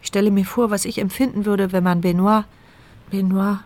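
A woman's voice: mid-range voice, flat delivery, normal speed, a little mellow, lightly acted. An actress playing a paralysed woman who narrates her inner thoughts in a dry, sardonic, reflective way for a radio drama. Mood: brooding. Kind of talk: scripted radio drama monologue.

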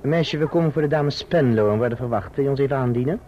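An actor playing a cheerful, reassuring young gentleman in a radio play, on a dull, noisy old recording. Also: mid-range voice, quick pace, lightly acted. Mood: courteous.

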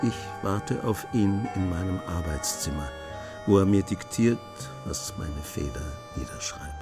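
An actor playing a writer who narrates a radio play in a dramatic, intimate manner, savouring his pauses. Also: sits low, lively intonation, over music. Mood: wistful. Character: male writer-narrator, absorbed admirer of a gentleman thief.

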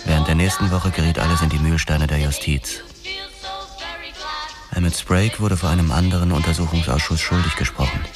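A disgraced detective narrates in a very deep voice over prominent music. He is sober, measured and resigned, telling his own story in a noir radio play. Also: fairly even intonation. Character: world-weary disgraced American police detective narrating his downfall. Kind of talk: scripted first-person radio drama narration.

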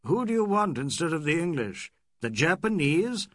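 A man's voice: fairly high pitch, sing-song, takes it slowly, breathy edge, no echo, studio quality, exaggerated voice. A man doing a jeering Englishman. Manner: jeering, sardonic.

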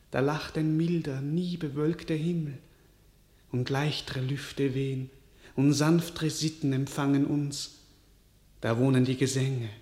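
A man's voice: medium pitch, darkish tone, lightly acted. An actor playing a weary, despairing young king in a classic radio play, speaking in a solemn, resigned way. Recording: roomy studio radio recording.

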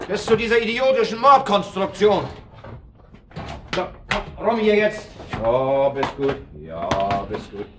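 An actor playing a worldly, condescending club man in a radio drama, rambling on and breaking off to hush his dog. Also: sits high, a little hiss or hum. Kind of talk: naturalistic German radio drama acting.

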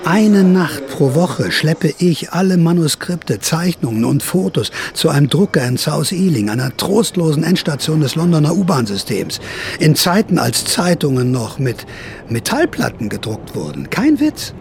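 A man's voice: a little high, very animated, moderate pace, gravelly, slight background noise. A man narrating a radio play in the first person, wry, theatrical and incredulous as he plays interviewer and storyteller.

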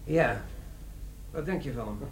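An actor playing a middle-aged company boss in a comic radio play, formal, dryly polite and condescending. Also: male voice, mid-range voice, measured pace, lightly acted. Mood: dismissive. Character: officious, dry, sceptical middle-aged male company boss. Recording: echoey room, faintly noisy radio recording.

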